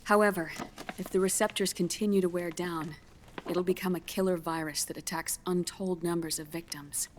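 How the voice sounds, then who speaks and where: A woman playing a grave scientist in a video game cutscene, explaining grim findings in a measured, solemn way. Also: fairly low pitch, steady tone, a little hiss or hum, lightly acted. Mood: clinical, explanatory. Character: grave female scientist delivering a grim diagnosis.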